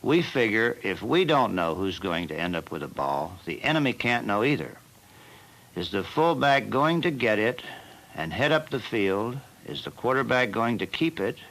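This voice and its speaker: A man narrating a football training film as the team's coach, in a measured and plain instructional manner. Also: lowish voice, big pitch swings, fairly bright tone, poor audio.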